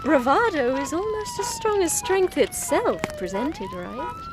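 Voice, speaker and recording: A woman's voice: very high-pitched, wildly swooping pitch, a little slow, full character voice. A woman playing a gleeful, mocking villain who styles herself an empress in an audio drama, theatrical and taunting. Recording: noisy scene with sirens behind.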